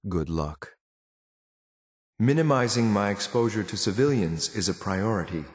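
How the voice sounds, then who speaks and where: Casting: stern synth soldier companion in a video game. Lowish male voice, clipped military formality, cool loyalty, dry studio sound. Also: animated, unhurried, slightly rough, no echo. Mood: curt, businesslike.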